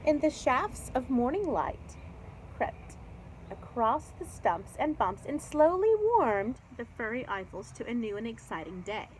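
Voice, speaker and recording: A woman reading a children's book aloud, expressive and explanatory, as if speaking to young children. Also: on the high side, unhurried, slight background noise, rough recording. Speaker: read-aloud storyteller. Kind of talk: children's book read-aloud with chatty asides.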